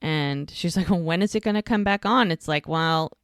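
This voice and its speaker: A woman's voice: on the low side, normal speed, smooth, dry recording, clean studio sound. A woman co-hosting a podcast, casually recapping a TV episode and acting out the characters' lines. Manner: animated retelling, acts out characters' lines. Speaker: podcast co-host. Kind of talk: casual rewatch recap chat.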